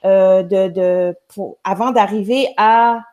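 A woman's voice: mid-range voice, slow pace, a little mellow, lo-fi sound. A woman from Quebec with a Québécois accent, a music teacher telling her story in a chatty, rambling way as a guest on a show. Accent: Québécois French. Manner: chatty, rambling, many restarts. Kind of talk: casual storytelling on a live show.

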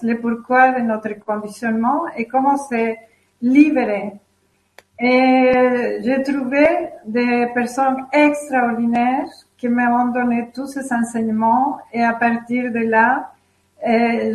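A woman speaks very slowly in a slightly high voice, gently explaining and guiding as a guest. The room around her adds a clear echo. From Mexico. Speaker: guest, self-questioning workshop leader. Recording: roomy echo.

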